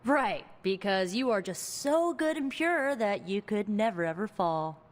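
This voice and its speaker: An actress playing a quick-witted, sardonic young sorceress in a video game, talking in a casual, halting, anxious way. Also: female voice, medium pitch, lively intonation, big-room sound, close to an everyday voice. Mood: wry. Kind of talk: video game cutscene dialogue.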